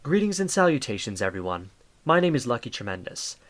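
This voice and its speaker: A man narrating a holiday audio drama as a kindly storyteller, in a measured, grandiose, theatrical way. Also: mid-range voice, very animated, a crowd in the background, noisy background, close to an everyday voice. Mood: sincere address.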